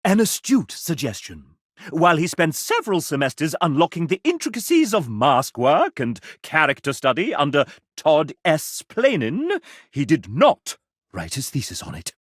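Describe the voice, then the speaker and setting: Casting: flamboyant game-show gentleman for a video game, sardonic and pompous, highish pitch, hugely swooping delivery. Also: moderate pace, breathy edge, no room sound, studio quality, exaggerated voice. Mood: wry narration. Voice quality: animated theatrical delivery. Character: sassy, flamboyant gentleman host, pompous and playful. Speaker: game show style host and narrator. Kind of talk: theatrical video game narration.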